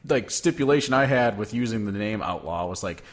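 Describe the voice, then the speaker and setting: A man's voice: on the low side, animated, brisk. A man co-hosting a casual podcast chat, fired-up and ranting, swearing freely. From Northern US. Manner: fired-up, swears a lot, rants. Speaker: podcast co-host.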